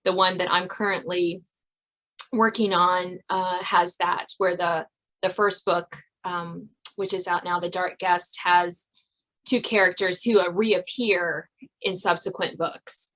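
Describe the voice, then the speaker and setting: A woman's voice: fairly low pitch, steady tone, slow, fairly bright tone, poor audio. A woman hosting a podcast interview, talking in a chatty, laughing way with many fillers. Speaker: podcast host. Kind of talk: casual interview chat.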